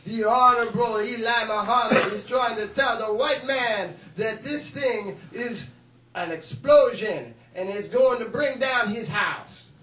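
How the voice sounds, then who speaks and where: A fiery political orator, acted by a male comedian, speaks in a high voice with emphatic, repetitive rhetoric. The sound is sharp, echoey and set back from the mic, as in a comedy monologue. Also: unhurried. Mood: urgent, warning. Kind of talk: mock political oratory from a film. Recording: roomy, distant mic, faint noise.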